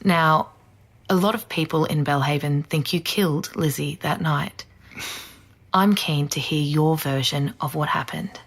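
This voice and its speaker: A woman acting as a composed true-crime podcast host, measured, probing and sceptical, in an audio drama. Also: low-pitched. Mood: measured, serious.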